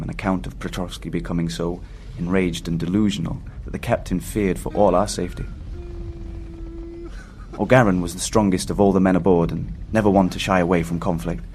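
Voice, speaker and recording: An actor playing a haunted seafarer recounting a doomed voyage in solemn, scripted first-person horror narration. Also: male voice, deep voice, little pitch movement, fairly quick, a little mellow, slight background noise. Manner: solemn, literary storytelling.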